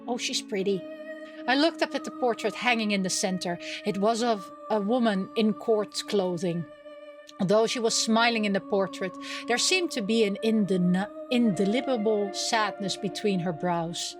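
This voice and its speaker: A woman hosting a gaming video, reading game text aloud and reacting in a playful, expressive way as she chatters to herself. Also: mid-range voice, normal speed, music in the background.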